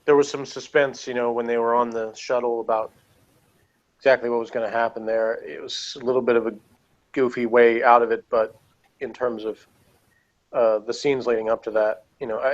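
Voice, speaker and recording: Male panelist, mid-pitched, dull lo-fi sound; casual, rambling reviewer who hesitates often. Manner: rambling, halting, frequent filler words. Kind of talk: casual opinionated review chat.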